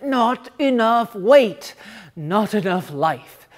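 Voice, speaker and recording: A woman's voice: mid-range voice, animated. Woman doing a muttering, stubborn storybook father. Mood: brooding. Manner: muttering, halting, brooding. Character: muttering, stubborn father, anxious home tinkerer.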